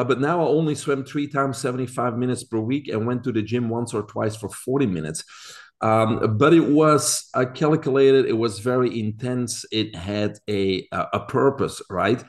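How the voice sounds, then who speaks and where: A man's voice: mid-range voice, darkish tone. A man in his forties from Belgium with a Belgian accent, a podcast guest explaining things earnestly with frequent fillers. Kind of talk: casual interview talk.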